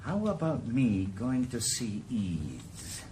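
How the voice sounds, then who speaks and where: Sardonic ex-copper for radio play, lively inflection. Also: male voice, medium pitch, slow pace, slight background noise. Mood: theorizing. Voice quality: dry, sardonic. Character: retired ex-detective, wry middle-aged Welshman. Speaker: private investigator. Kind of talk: 1980s radio drama acting.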